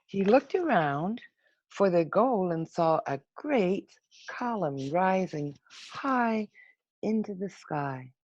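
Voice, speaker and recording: A woman reading a children's storybook aloud in an expressive, unhurried manner with dramatic pauses, over a video call. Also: fairly low pitch, no echo. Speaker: storybook reader. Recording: muffled, lo-fi video call audio.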